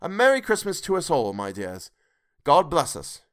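A meek, kindly clerk father, as a male narrator puts him on, gentle and soft-spoken in a storybook reading. His voice lifts warmly when he toasts. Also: fairly high pitch, wildly swooping pitch, moderate pace, smooth, voice pushed up. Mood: cheerful.

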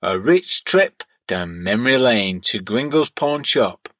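A man with a northern English Bolton accent, gently reading a scripted monologue as a podcast host. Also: on the low side, lively intonation, measured pace, no room sound, clean studio sound. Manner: gentle, wordy.

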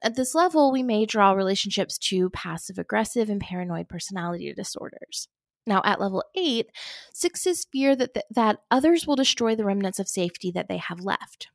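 A woman's voice: mid-range voice, vocal fry, dry recording, clean studio sound. A woman hosting a solo podcast episode. She sounds congested from a sinus infection and talks in a chatty, informal way with frequent fillers. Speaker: podcast host.

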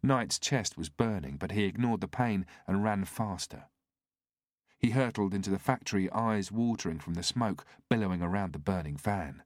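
Low-voiced man narrating a thriller. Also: animated, normal speed, dry recording, studio quality. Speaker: audiobook narrator.

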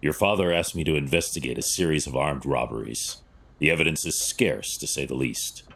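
An actor playing a stern, brooding masked vigilante detective in an audio drama, gravelly and husky, speaking in terse commands. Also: male voice, rumbling low, fairly quick, fairly bright tone, exaggerated voice. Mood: serious, measured.

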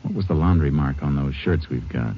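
An actor playing a calm, clipped Texas Ranger in a 1950s radio drama. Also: male voice, rumbling low, brisk, a little hiss or hum. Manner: calm, clipped, matter-of-fact. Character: steady, terse male Texas Ranger. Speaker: Texas Ranger, lead and narrator. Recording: muffled old radio broadcast with music.

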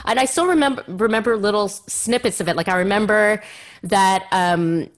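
A woman's voice: mid-range voice, normal speed. A woman hosting a podcast, speaking with effusive emphasis and dramatic phrasing. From Canada. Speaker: podcast host. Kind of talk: scripted podcast introduction and interview.

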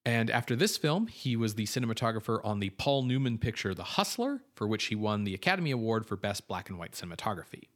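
Low-voiced guy reading film trivia on a podcast, prepped notes, chatty asides. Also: expressive, fast, hardly any pauses. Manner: informative, with casual fillers and asides. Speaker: podcast co-host.

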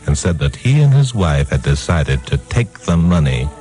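Casting: hard-boiled newsman lead, vintage radio style, low voice with very lively, assured delivery. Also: normal speed, faint music behind. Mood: wry narration. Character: confident, wisecracking hard-boiled newsman investigator. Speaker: lead character and narrator. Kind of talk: 1950s radio drama acting and narration.

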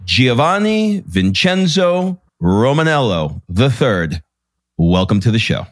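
A man hosts a podcast interview with eager, chatty enthusiasm. His mid-pitched voice swings freely up and down as he talks. The sound is close and dry, with no room around it. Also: very animated, slow pace, dry recording. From Queens, New York. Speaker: podcast host. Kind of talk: casual interview chat.

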